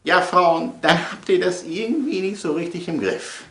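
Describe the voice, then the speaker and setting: A middle-aged man talks casually to his viewers in a bright, forceful voice. He rambles, repeats phrases and stresses them theatrically. The room is clearly echoey. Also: sits high, lively intonation, moderate pace. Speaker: vlogger and audio play producer.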